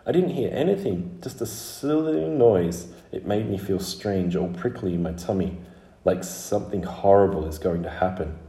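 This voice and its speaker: A man's voice: deep voice, moderate pace, dark tone, roomy sound. A man voicing a candid, stubborn little girl in a storybook, matter-of-fact and childlike. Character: small young girl, candid, stubborn, easily irritated.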